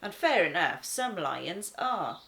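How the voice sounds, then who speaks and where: A woman reading a children's story as a laid-back talking lion, conversational and wry, with dramatic pauses. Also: on the low side, big pitch swings, gravelly, fairly bright tone, heavily acted. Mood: wry, relaxed. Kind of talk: first-person children's storybook narration.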